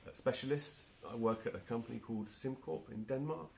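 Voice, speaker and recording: A man's voice: medium pitch, a little fast, vocal fry, a little mellow, slight background noise. A man in his forties with a London accent, answering interview questions with frequent fillers and restarts. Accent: London English. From England. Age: 40s. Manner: hesitant, many restarts and fillers. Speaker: marketing automation specialist, guest.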